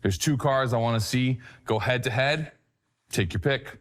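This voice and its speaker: An actor playing a confident, demanding stunt-driving mentor in a racing game, casual but instructive and emphatic. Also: male voice, mid-range voice, moderate pace, lightly acted. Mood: challenging. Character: confident, demanding male gymkhana master mentor. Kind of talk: scripted racing game coaching. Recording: loud music and car noise behind. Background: loud music, cars.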